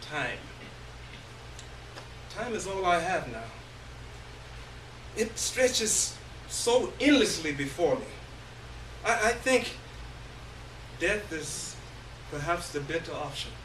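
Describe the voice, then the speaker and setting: Guy doing a dramatic story reading onstage, really slow n halting, high voice, mic kinda far off. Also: expressive. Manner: theatrical, halting, with long pauses. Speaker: reader of a short story. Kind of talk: dramatic first-person literary reading on stage. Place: live indoor stage reading. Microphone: distant microphone. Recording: roomy, distant stage recording, faint noise.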